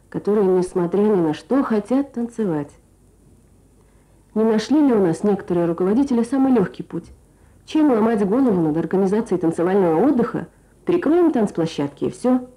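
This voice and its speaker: A woman's voice: medium pitch, mellow, low-quality recording. A woman presenting a scripted Soviet radio programme, reading rhetorically.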